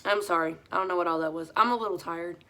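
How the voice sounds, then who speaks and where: A woman, hoarse from a head cold, telling her recovery story in a blunt, profane, confessional way. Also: fairly low pitch, quick pace. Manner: blunt and profane, talks straight to viewers; talks over her hoarseness, voice pushed a little louder than usual. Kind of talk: casual confessional monologue.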